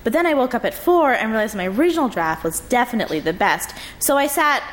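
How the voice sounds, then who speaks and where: A woman's voice: fairly high pitch, animated, fast talker, slight background noise, voice pushed up, close to an everyday voice. A woman reading aloud and voicing a chatty, oblivious twenty-two-year-old woman who rambles breezily.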